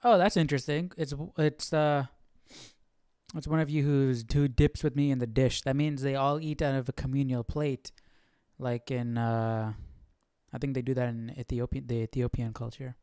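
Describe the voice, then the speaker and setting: A man's voice: medium pitch, fairly even intonation, normal speed, dark tone, smooth, no room sound, studio quality. A man hosting a Bible study livestream, commenting casually and thinking aloud in an informal way. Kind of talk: casual live commentary on a reading.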